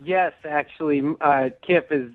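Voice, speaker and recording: A man's voice: a little high, moderate pace, dry recording. A man calling in to a radio show by phone, telling his story casually in a nervous, hesitant, apologetic way. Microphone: phone call. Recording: narrow, tinny phone line.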